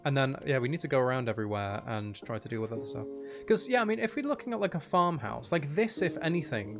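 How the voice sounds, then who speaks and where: A man giving casual gameplay commentary as a streamer, thinking out loud and chatting with viewers. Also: a little high, gravelly. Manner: thinks out loud, sweary, banters at game characters. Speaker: game streamer.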